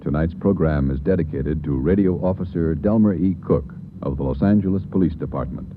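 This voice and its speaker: Brief: solemn male radio announcer, very deep voice, tinny old broadcast with music beneath. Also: background noise. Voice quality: grave, measured delivery. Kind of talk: formal 1940s radio announcing.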